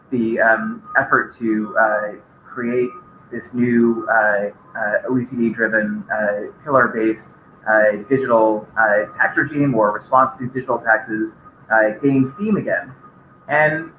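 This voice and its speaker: Middle-aged man, conference speaker, halting delivery full of fillers; roomy echo on the mic. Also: mid-range voice, sharp tone, a little hiss or hum. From Bahamas. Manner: hesitant, many filler "uh"s. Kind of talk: conference talk.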